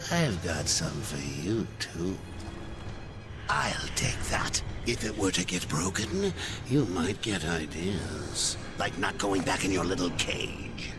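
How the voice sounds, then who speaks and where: An actor playing a crude, mocking demonic jailer in a video game, taunting and theatrical. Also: male voice, low-pitched, animated, takes it slowly, music in the background. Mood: mocking menace. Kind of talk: video game cutscene acting.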